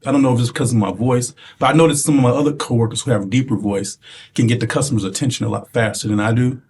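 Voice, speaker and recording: A man with a light, soft voice who speaks hesitantly and stops often to search for words. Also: mid-range voice, steady tone, fast talker, a little hiss or hum. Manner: hesitant, many fillers. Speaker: participant.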